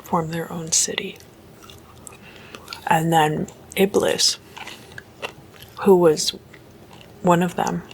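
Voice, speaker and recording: Slow whispering woman, creaky edges. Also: on the low side, slight background noise, rough recording. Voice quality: mostly unvoiced whisper, breathy with some creak. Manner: slow, hushed, deliberate delivery. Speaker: host of an ASMR series. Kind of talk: whispered ASMR.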